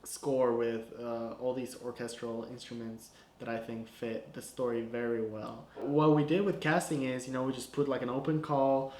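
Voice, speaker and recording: A young man talks through his filmmaking in a loose, chatty way, leaning on "like" and "you know". His voice sits at an ordinary middle pitch for a man. The sound carries a roomy echo. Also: fairly even intonation, moderate pace, few pauses, darkish tone, a little hiss or hum. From Guatemala. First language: Spanish. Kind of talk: casual breakdown of his short film. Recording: echoey room.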